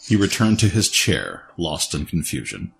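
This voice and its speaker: A man's voice: low voice, sing-song, normal speed, vocal fry, a bit bright. A man reading scripted, dramatic story narration as the narrator of an audio drama. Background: faint music.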